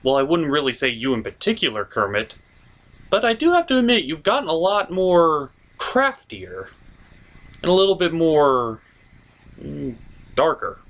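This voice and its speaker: A man's voice: on the high side, sing-song, unhurried, a bit bright. A man hosting a scripted comedic review with skits, with a theatrical, exasperated delivery. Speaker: comic reviewer and host.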